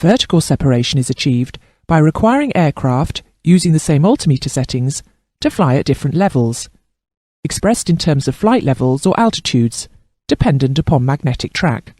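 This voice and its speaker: A man narrates a training course in a fairly high voice with a soft, mellow tone. His delivery is formal and precise, with plenty of melodic movement as he reads the scripted instruction. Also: very animated, moderate pace, no echo, clean studio sound.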